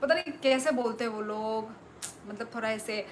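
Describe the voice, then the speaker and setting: A woman's voice: a little high, normal speed, slight background noise. A young woman from Tripura with a Northeast Indian accent, chatting casually on a live stream while reading viewer comments aloud. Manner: chatty, reads out viewer comments and thanks them.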